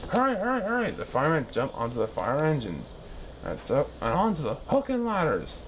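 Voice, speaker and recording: Lively man reading a children's story. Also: mid-range voice, normal speed, slight background noise. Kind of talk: animated read-aloud narration of a children's story.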